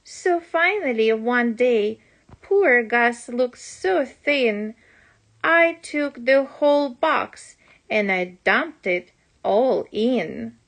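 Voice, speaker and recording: A woman reading a rhyming children's storybook aloud as a parent would, in an expressive, deliberate storytelling manner. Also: fairly high pitch.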